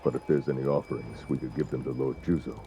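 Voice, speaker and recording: An actor playing a dim, cowering bandit underling in a video game, meek and apologetic in a gravelly voice. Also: male voice, steady tone, fast talker, dark tone, a little hiss or hum. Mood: sheepish. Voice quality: very deep, gravelly. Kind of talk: scripted video game voice acting.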